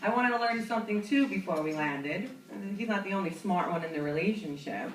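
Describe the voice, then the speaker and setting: A woman's voice: medium pitch, lively intonation, a little fast, roomy sound, a little hiss or hum. A young woman comic from New York tells a rambling, self-mocking story from a club stage. She chats along and laughs at her own punchlines. The mic sits some distance away, and the room rings around her. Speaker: stand-up comedian. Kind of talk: stand-up comedy storytelling.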